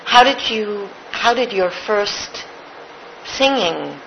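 A woman's voice: medium pitch, animated, takes it slowly, creaky, muffled recording, slight background noise. A woman interviewing a guest, encouraging and full of praise. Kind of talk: casual interview.

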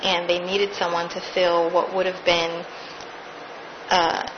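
A woman's voice: fairly low pitch, monotone, slow, muffled recording, background noise. Actress telling her stage story. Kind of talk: casual interview.

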